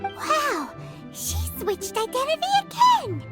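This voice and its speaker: Squeaky, very high-pitched tiny fairy companion. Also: female voice, wildly swooping pitch, slow pace, heavily acted. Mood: amazed. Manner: exclaiming, openly reacting, childlike. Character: tiny childlike fairy companion, cheerful, curious, food-loving. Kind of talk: video game voice acting. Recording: music playing behind the voice.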